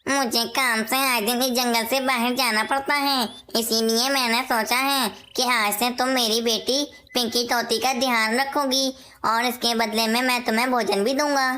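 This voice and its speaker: Woman as a bright, high-voiced parrot king. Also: fairly even intonation, moderate pace, hardly any pauses. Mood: instructing. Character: worried, dutiful male parrot king, a father. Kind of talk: Hindi cartoon voice acting.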